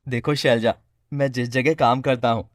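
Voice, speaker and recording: A man's voice: mid-range voice, fairly even intonation, normal speed, no room sound, pitched below the performer's own voice, close to an everyday voice. Earnest lovestruck young cartoon mechanic, acted by a man. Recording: dry studio voice with music.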